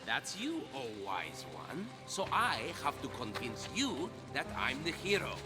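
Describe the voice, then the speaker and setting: Cocky, high-voiced heroic big brother. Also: male voice, huge pitch swings, unhurried, loud music behind the voice, heavily acted. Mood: confident, persuasive. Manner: self-assured, breezy. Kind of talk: video game cutscene acting.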